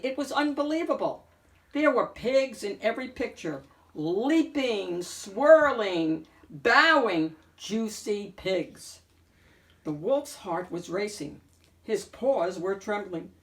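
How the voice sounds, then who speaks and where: A woman narrating a children's picture book in an expressive read-aloud style, with deliberate, dramatic emphasis. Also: mid-range voice, far from the mic. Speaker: storyteller, picture book reader.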